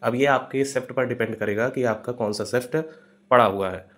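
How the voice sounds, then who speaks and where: A man's voice: mid-range voice, a little fast, a bit bright. A man hosting an informal explanatory news update, repeating himself and mixing English terms into his talk. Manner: repetitive, Hindi mixed with English terms. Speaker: host of exam update videos.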